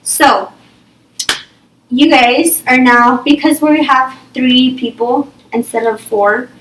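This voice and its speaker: A young woman leads a small reading group through a story, thinking aloud and tagging almost every point with "okay?" or "right?". Her voice is bright and sits a little high. She is picked up from a distance in an echoey classroom. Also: fairly even intonation, slow. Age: young adult. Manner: checks in with "okay?" and "right?" constantly. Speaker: teacher. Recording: echoey room, far from the mic.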